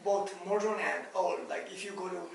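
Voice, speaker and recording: A man's voice: high-pitched, steady tone, normal speed, creaky. A young man from Nepal with a Nepali accent, answering interview questions informally and hesitantly in an echoey room. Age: young adult. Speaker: interviewee, master's student. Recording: very echoey room, distant mic, faint noise.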